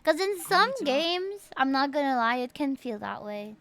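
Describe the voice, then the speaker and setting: A woman with a fairly high voice is livestreaming a game. She talks in a chatty, casual way, enthusiastic as she reacts to the game and greets her viewers. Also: big pitch swings, measured pace, a bit bright. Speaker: game streamer.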